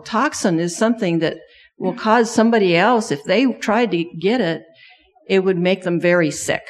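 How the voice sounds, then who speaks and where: A middle-aged woman whose first language is Thai tells a children's sermon story at a slow, unhurried pace. She keeps stopping to ask the children questions as she goes. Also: mid-range voice, a little mellow. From Thailand. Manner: asks children many questions.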